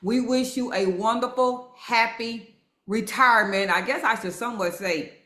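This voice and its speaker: Woman cohost, bright punchy voice, lots of quick yeps, echoey room. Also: a little high. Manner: quick, affirming interjections. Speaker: co-host. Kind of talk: casual conversation. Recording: roomy echoey sound.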